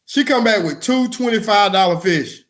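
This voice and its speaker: Male comedy podcast co-host, high-pitched for a man, very lively intonation, slangy and exclamatory casual storyteller. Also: normal speed. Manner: exclamatory, slangy, swears freely.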